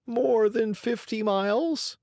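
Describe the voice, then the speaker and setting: A narrator voicing a big, helpful talking pigeon in a storybook, with a gentle, matter-of-fact manner. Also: medium pitch, slow, gravelly, no room sound, studio quality, voice pushed up. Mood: informative.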